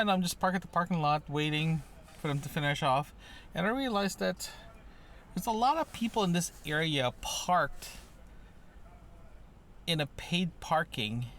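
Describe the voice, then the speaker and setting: A man's voice: fairly high pitch, fairly bright tone, a little hiss or hum. Casual man rambling through an audio diary. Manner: conversational, meandering, often checking with "right?". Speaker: solo podcast host and vlogger.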